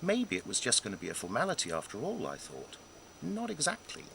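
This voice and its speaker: Guy narrating his own comic story, really animated and wry, dramatic pauses, some background noise. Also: mid-range voice, gravelly, poor audio. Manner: wry, self-deprecating, dramatic pauses and emphasis. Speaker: author reading own memoir. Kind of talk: scripted narration of a humorous memoir.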